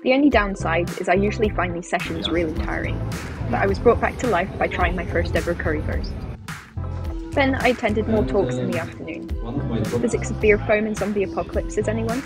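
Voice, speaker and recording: A woman's voice: mid-range voice, brisk, loud music behind the voice. A woman in her twenties, a PhD student, narrating a vlog and chatting casually. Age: 20s.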